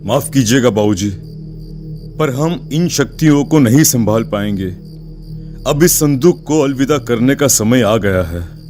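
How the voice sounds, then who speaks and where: A man voicing a worried, protective middle-aged father in an animated story. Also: medium pitch, a little slow, faint music behind, natural voice. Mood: solemn.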